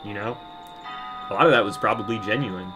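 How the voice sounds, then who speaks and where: A man's voice: on the low side, brisk, music in the background. A man ranting in a casual monologue, bitter and profane, rambling with many restarts. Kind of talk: casual rant to camera.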